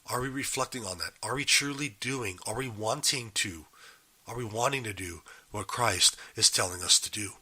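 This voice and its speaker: A man hosting a daily Bible podcast while getting over a cold, his voice still a little raspy, explaining a passage in earnest and putting questions to his listeners. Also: fairly low pitch, normal speed, sharp tone. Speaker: podcast host. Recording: live and unedited.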